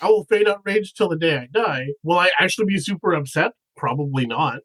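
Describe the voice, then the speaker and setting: A man's voice: fairly high pitch, expressive, brisk, a bit bright, rough recording. A man in his thirties from Canada, a guest on a podcast, chatting in an easygoing way. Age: 30s. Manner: easygoing, chatty, laughs often. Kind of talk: casual podcast chat.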